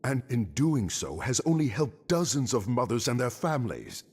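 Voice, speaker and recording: An actor voicing a brooding, stubborn mariner in a fantasy video game, terse and grave with a deep, husky, gravelly voice. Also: male voice, very animated, normal speed, darkish tone. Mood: indignant. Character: brooding, gruff male mariner, burdened and stubborn. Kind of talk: dramatic video game voice acting.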